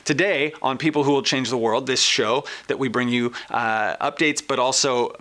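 An American man hosts in a bright, forward voice. He presents and interviews conversationally, with an informal, enthusiastic manner and a middle-range pitch. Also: moderate pace. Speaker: show host.

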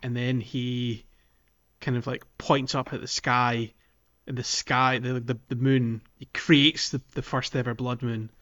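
A man's voice: mid-range voice, level tone, unhurried, a bit bright, highs cut off. A man hosting a casual gaming podcast, talking in an enthusiastic, geeky way. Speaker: podcast host. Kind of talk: casual game discussion podcast.

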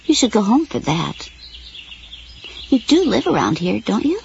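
An actress playing a flustered suburban woman in an audio drama, scolding but soft-hearted, in a natural style. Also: medium pitch, very animated, a little slow, gravelly, highs cut off, slight background noise. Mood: gentle, concerned.

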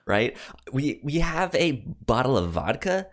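A man's voice: medium pitch, sing-song, a little slow, very noisy. A man hosting a podcast in casual chat about movies, often stammering and repeating words as he gets into a sentence. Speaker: podcast host.

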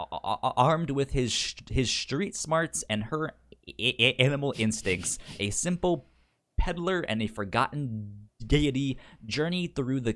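A man's voice: medium pitch, fairly bright tone. A man with a pronounced stutter, chatting casually as a podcast co-host and reading synopses aloud. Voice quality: pronounced stutter with repeated sounds and syllables.

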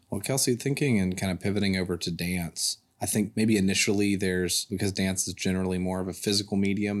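Podcast host, very low voice, measured and inviting. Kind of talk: podcast interview.

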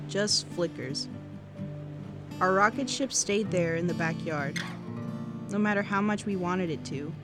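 Woman as a low-voiced wistful teenage girl, guitar behind. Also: lightly acted. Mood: wistful, nostalgic. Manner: reflective, hesitant, self-correcting teenage musing. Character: wistful, awkward seventeen-year-old American girl keeping an audio diary. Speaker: actor in a fiction podcast. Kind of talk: intimate first-person cassette diary narration. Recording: music under the voice. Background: guitar music.

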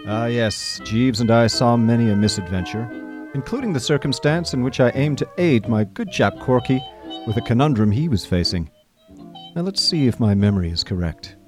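Foppish, genial young gentleman narrator played by a man, mid-pitched, effusive and genteel, clear music behind, radio drama. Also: animated, moderate pace, lightly acted. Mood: cheerful, fond.

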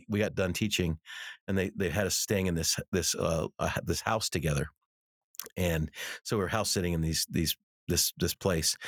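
A middle-aged American man with a fairly low voice, recorded dry without room sound. He talks as a guest in conversation, earnestly telling stories and leaning on repeated words to make a point. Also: no echo. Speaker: interview guest, author and ministry teacher. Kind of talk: conversational interview with anecdotes.

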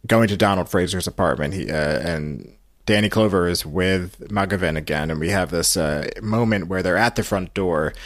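A man hosting a podcast, recapping a story in a casual, halting, explanatory way. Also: sits low, no room sound, studio quality. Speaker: podcast host.

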